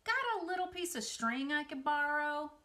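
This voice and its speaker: A woman voicing a naive, boastful young male pig in a children's storybook, excitable and cheerful. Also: high voice, normal speed, voice pushed up. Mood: eager.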